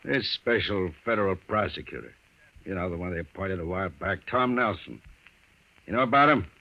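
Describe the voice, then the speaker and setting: An actor playing a tough, suspicious big-shot gangster boss in an old radio crime drama, with slangy, domineering talk. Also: male voice, low-pitched, a little fast. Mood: confiding, scheming. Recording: muffled old radio recording.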